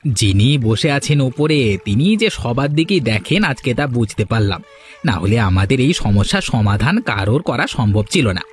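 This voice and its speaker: A man voicing a steady middle-aged fish seller in a cartoon, measured and persuasive. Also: medium pitch, slight background noise, pitched below the performer's own voice, close to an everyday voice. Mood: earnest. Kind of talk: cartoon voice acting.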